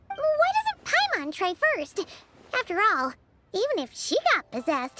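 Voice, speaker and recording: A woman voicing a small, excitable, childlike fairy companion in an anime-style video game, squeaky and eager. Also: heavily acted. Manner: refers to self in third person, eager. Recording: music behind the voice.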